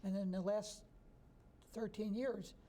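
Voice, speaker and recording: A man in his sixties from New Jersey, a history professor answering interview questions in a relaxed, thoughtful way. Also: high-pitched, slow. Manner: relaxed, thoughtful, chuckles easily.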